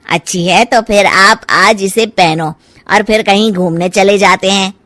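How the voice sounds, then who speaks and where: A woman's voice: mid-range voice, fairly even intonation, unhurried, a bit bright, no room sound, studio quality, close to an everyday voice. A woman voicing an insistent, self-centred grown son in a cartoon moral story. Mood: coaxing.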